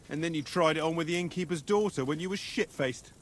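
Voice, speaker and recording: A man's voice: a little high, a little fast, a little hiss or hum, lightly acted. An actor playing a crude, teasing soldier who needles his comrades with bawdy jokes. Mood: mocking. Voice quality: bawdy, mocking.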